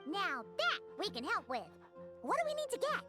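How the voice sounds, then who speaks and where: A woman voicing a small, eager, bossy childlike fairy companion in a video game, with a squeaky voice and exclamatory delivery. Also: wildly swooping pitch, moderate pace, over music, exaggerated voice. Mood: excited, eager. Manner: exclamatory, refers to herself in third person.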